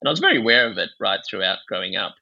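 Guy from bangladesh, psychiatrist being interviewed, sharp forceful voice kinda husky, thinks out loud and explains stuff. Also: fairly low pitch, big pitch swings, rapid-fire, lo-fi sound. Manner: discursive, explanatory, intellectual. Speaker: psychiatrist and author, interview guest. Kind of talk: thoughtful interview discussion.

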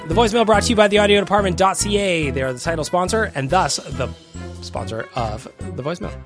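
A man's voice: sits high, fast, over loud music. Sniffly congested male podcast host. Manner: chatty and self-deprecating. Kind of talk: casual solo podcast chat. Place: home podcast studio room. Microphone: studio microphone.

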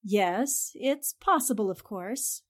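A woman playing a polite, cautious newspaperwoman in a 1940s-style radio drama, measured and reserved. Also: medium pitch, lively intonation. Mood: cautious, reassuring.

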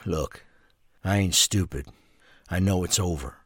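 An actor playing a tough, wary career criminal in a crime audio drama, speaking in a blunt, streetwise way. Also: male voice, low-pitched, unhurried, a bit bright, breathy edge, dry recording, studio quality, full character voice. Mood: reluctant, resigned.

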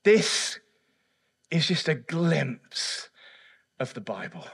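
A man preaching a sermon and interviewing a church member on stage, emphatic and swinging between hushed and loud. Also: fairly high pitch, very animated, drawn-out words, husky. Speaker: pastor. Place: church service.

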